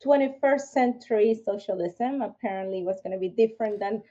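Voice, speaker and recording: A woman from Venezuela speaks English with a Venezuelan Spanish accent. She is a guest on a podcast and tells her story earnestly, restarting often. Her voice is mellow, and the recording sounds a little dull. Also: medium pitch, a little fast. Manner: earnest, with frequent restarts and fillers. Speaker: podcast guest, firearms instructor. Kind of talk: interview, personal story.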